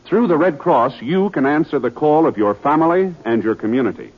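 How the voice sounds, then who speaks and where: A male radio announcer giving a formal, measured introduction and public service announcement on a 1950s broadcast. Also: on the high side, lively intonation. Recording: dull old radio broadcast with music.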